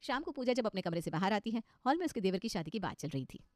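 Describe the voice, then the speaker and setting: A woman's voice: mid-range voice, big pitch swings, quick pace, no room sound, clean studio sound. A woman narrating a scripted cartoon story as its storyteller.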